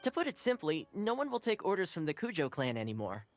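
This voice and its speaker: An actor playing a calm, reassuring young male envoy. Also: sits high, fast, no echo, soft background music. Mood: concerned. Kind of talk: game cutscene dialogue.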